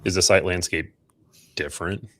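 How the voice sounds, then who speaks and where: An American man chats as a podcast host in a low-pitched voice. His delivery is informal and joking, in the loose style of a casual interview. The sound is dry, with very little room. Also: fairly even intonation, normal speed, a bit bright, no room sound.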